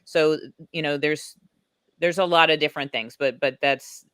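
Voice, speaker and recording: A woman's voice: low-pitched, fairly even intonation, moderate pace, a bit bright, smooth, no echo, studio quality. An American woman, a guest on an online talk show, chatting casually and rambling with many restarts. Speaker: guest advocate.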